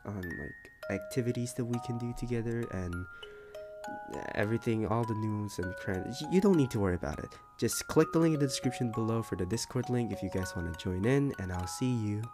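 A man's voice: fairly low pitch, brisk, over music. A man talking casually and informally to his viewers as a channel creator, in a rambling way. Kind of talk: casual outro chat to viewers.

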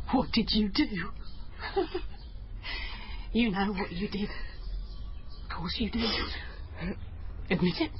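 An actress playing a resentful, distraught young daughter in a naturalistic radio drama. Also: female voice, mid-range voice, highs cut off, slight background noise. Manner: resentful, emotional. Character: embittered, distraught daughter confessing and accusing her mother.

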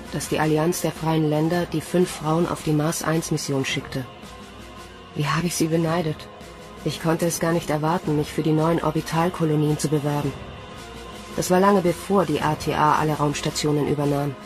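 An actress as a wry young female space recruit with a low voice. She speaks dryly and plainly in a radio play, over audible music. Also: natural voice. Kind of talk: radio drama narration and dialogue.

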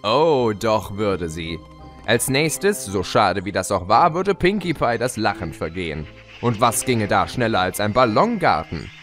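A man's voice: lowish voice, sing-song, a little slow, a bit bright. A man playing a gloating, mischievous chaos spirit who tells of his schemes in a theatrical, teasing way in an audio drama. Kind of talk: German fan audio drama, storytelling. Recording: music behind the voice.

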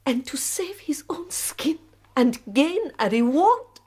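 An actress playing a proud, weary middle-aged revolutionary woman in a radio drama, speaking slowly and deliberately. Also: high voice, animated, darkish tone. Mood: bitter, grave. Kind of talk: BBC radio drama acting.

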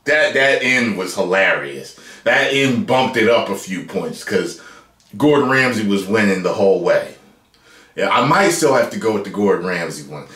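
An American man in his thirties giving casual, playful reaction commentary as a video host, loud and repeating his phrases. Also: on the low side, expressive, moderate pace. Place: small room at home, indoors. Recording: roomy, echoey home recording.

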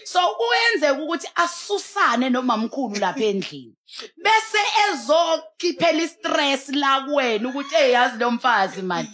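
A woman's voice: sits high, big pitch swings, normal speed, a bit bright. A South African woman speaking as a pastor on a studio talk show, emphatic and exhorting, mixing in English. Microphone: headset.

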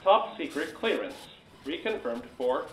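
An actor playing an impersonal, clipped government announcer in a radio drama. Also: male voice, on the high side, sing-song, unhurried. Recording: echoey, faint noise.

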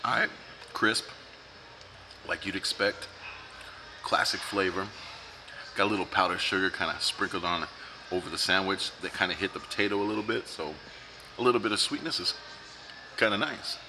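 A man's voice: sits low, normal speed, long pauses, noisy background. A man, reviewing food in a casual, joking vlog while eating at a restaurant. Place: restaurant dining room, indoors.

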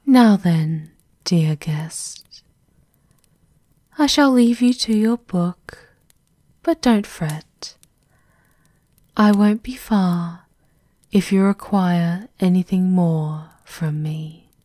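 A woman playing a devoted, menacing servant of a vampire countess, formal and softly sinister in an ASMR roleplay, often in a half-whisper. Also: lowish voice, drawn-out words, pauses often, dry recording, studio quality. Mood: coolly courteous.

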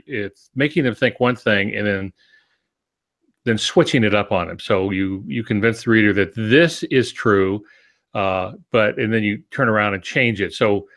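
An older man in his sixties with a fairly low voice talks through ideas as a podcast co-host. He explains conversationally, in a measured way, often pausing mid-phrase. He is on a video call from a home office. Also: fairly bright tone. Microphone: video call with earphones.

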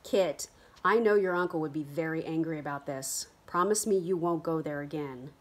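A woman's voice: low-pitched, normal speed. A woman reading a serious, cautious Puritan aunt. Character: stern but kindly Puritan aunt, middle-aged.

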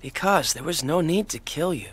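Husky, lively earnest young knight. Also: male voice, on the high side, moderate pace. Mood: earnest, gentle. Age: young adult. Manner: hesitant, earnest. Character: earnest, apologetic, kind young male knight. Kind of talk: video game cutscene acting.

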